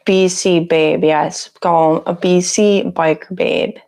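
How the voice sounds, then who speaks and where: A woman with a raspy voice, sick with a cough, chatting casually on a livestream from her home office on a lapel mic and greeting viewers by name. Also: fairly low pitch, steady tone, slow pace, darkish tone. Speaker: livestream host. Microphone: lapel mic on her shirt.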